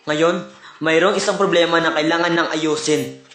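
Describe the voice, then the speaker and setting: Man playing a high-pitched animatronic bear, earnest team leader, preachy, roomy echo. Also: fairly even intonation, moderate pace, fairly bright tone, faint music behind, lightly acted. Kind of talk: cartoon fan-dub acting.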